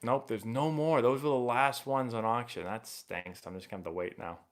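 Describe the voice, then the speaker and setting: A young man hosting a live baseball stream, chatting casually and rambling excitably as he answers viewer questions. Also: mid-range voice, moderate pace. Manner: rambling and excitable, many fillers and restarts.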